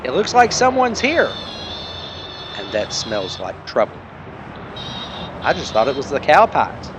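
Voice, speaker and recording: A man's voice: medium pitch, huge pitch swings, a little slow, background noise, pitched above the performer's own voice. A man voicing an eager, prickly police sidekick in a detective show parody. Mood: suspicious.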